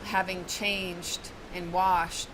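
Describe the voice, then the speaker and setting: A woman from Venezuela describing a spiritual experience in a slow, searching way with vivid imagery. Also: on the low side, flat delivery, noisy background. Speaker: retreat guest. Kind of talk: reflective testimonial.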